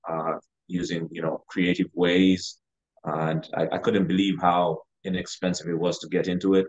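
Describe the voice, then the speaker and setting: A man's voice: low voice, darkish tone. A man in his thirties from Uganda, chatting casually as a podcast guest with hesitant, you-know-filled phrasing over a video call. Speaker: podcast guest, real estate investor. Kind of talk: casual interview chat. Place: living room, on a video call. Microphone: video call, sitting back from camera. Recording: dull, lo-fi video call audio.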